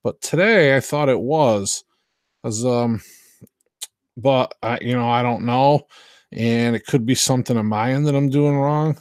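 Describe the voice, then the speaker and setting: A man's voice: mid-range voice, unhurried, clear, resonant tone, no room sound, studio quality. A man hosting a live stream, chatting casually with viewers in a hesitant, unpolished way, often trailing off. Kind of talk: casual rambling chat with viewers.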